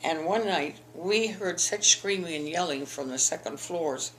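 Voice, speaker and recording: A woman's voice: on the low side, a little slow, fairly bright tone, a little hiss or hum, rough recording. An older woman from the eastern United States, telling her school memories in an oral history interview, rambling with frequent restarts. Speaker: interviewee, alumna.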